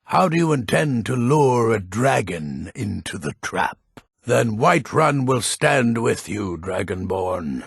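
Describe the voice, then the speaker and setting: An actor playing a grumpy, proud old Nordic man in a fantasy video game, with a gravelly, raspy voice and a gruff, theatrical delivery. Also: medium pitch, big pitch swings, slow pace, hardly any pauses, dry recording, heavily acted. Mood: gruff, resolute. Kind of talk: video game character dialogue.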